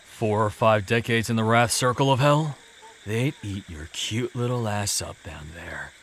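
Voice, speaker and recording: A man playing a gruff, anxious, exasperated cursed guardian in an audio drama, blunt and stern. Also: fairly low pitch, a little slow, fairly bright tone, slight background noise. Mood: grim, irritated.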